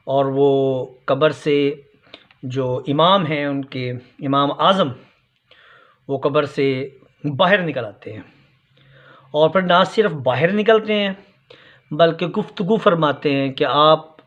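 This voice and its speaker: A man's voice: fairly high pitch, a bit bright. Male commentator, challenging and repetitive delivery, muffled lo-fi audio. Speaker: vlogger commenting on a sermon clip. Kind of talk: unscripted critical commentary. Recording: dull, lo-fi sound.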